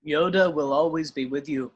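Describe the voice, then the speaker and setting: A man reads the ghost of an aged teacher, calm and full of regret. The voice sits a little above average for a man, reciting film dialogue in a read-through. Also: fairly high pitch, moderate pace, dull audio, natural voice. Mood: calm, firm. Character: ghostly old male mentor, calm and regretful. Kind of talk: online amateur script table read. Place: home room, on a video call.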